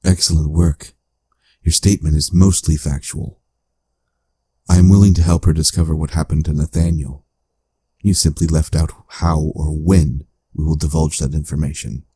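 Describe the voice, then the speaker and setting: A man voicing a coldly analytical artificial intelligence in a cyberpunk audio drama, speaking in a formal, measured, clinical way. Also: rumbling low, fairly even intonation, mellow, breathy edge, no echo, clean studio sound. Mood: dry approval.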